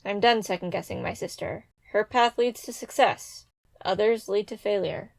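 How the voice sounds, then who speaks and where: A woman playing a composed, stubbornly confident royal pony in an animated parody, formal and dismissive. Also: mid-range voice, moderate pace, creaky, background noise, natural voice. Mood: firm.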